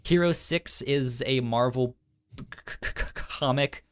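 A man co-hosting a podcast in casual chat, halting and often repeating the first sounds of words. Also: mid-range voice, smooth. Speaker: podcast co-host.

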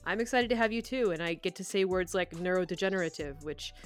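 A woman's voice: lowish voice, fast, faint music behind. A woman hosting a podcast, moving between scripted introduction and conversational interview questions.

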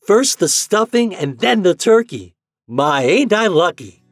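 A man plays a cheerful little boy in a storybook. The voice is piping and shrill but clear, lifted well above normal talk, eager and hopeful. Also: lively intonation, moderate pace, no echo, studio quality, faint music behind, close to an everyday voice. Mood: delighted.

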